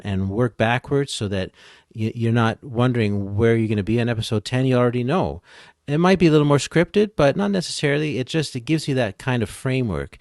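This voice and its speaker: A man's voice: medium pitch, a little mellow, no room sound, studio quality. A man speaking as an expert guest in a conversational, explanatory way, offering encouraging advice.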